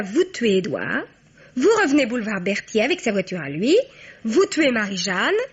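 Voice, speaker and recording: A woman's voice: a little high, husky. An actress playing a cheeky young woman blackmailer, chatty, sly and mock-innocent, in a French radio crime drama. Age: young adult. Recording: dull, band-limited radio sound.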